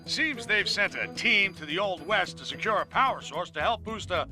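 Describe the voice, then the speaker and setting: An actor playing a cynical, wisecracking news boss, narrating a game cutscene in a brash, punchy, sarcastic way. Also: male voice, high-pitched, animated, moderate pace, music in the background. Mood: sardonic, scolding.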